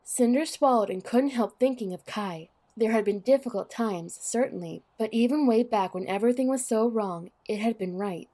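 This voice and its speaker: A woman's voice: on the high side, moderate pace, hardly any pauses, mellow. A woman narrating a scripted story as the narrator of an audio drama.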